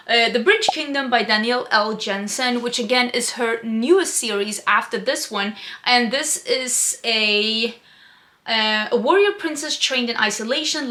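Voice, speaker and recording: A young woman chats about her reading plans to camera. Her voice sits a little high and sounds crisp and bright. She talks in a friendly, rambling way, full of "like" and little asides. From Sweden. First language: Swedish. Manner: chatty, upbeat, lots of "like" fillers. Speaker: book vlogger. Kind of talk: casual book chat to camera.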